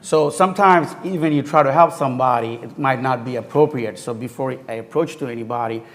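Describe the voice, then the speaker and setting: A man on a panel, speaking earnestly and haltingly with non-native grammar about his work with immigrants. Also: mid-range voice, long reverb tail. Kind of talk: panel discussion. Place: university forum hall.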